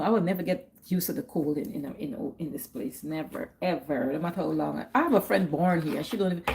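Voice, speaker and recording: A woman's voice: lowish voice, gravelly, warm tone, a little hiss or hum, low-quality recording. A middle-aged woman with a Guyanese accent, chatting casually on her livestream, repeating herself and laughing often. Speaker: livestream host.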